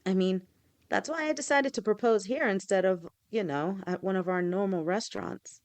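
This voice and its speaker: A caring snake-woman girlfriend, played by a young woman in an audio roleplay. She talks softly and reassuringly to her partner, patient and protective, in a mid-pitched voice. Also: moderate pace, close to an everyday voice. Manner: soft, reassuring, speaks directly to a partner.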